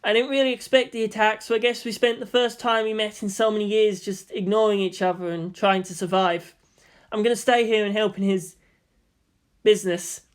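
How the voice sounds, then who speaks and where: A serious teenage boy, read by a woman at a medium pitch. He is a reserved, polite story character who sounds measured and calculating. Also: steady tone, natural voice. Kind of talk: fan fiction character reading.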